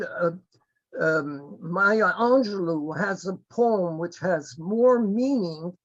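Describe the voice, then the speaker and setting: A high-pitched man talks slowly and earnestly over a video call, often halting mid-thought and starting again. The audio is dull and lo-fi, with the muffled sound of a webcast link. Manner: halting, earnest, with many restarts. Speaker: webinar participant. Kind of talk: impassioned remarks on a video call.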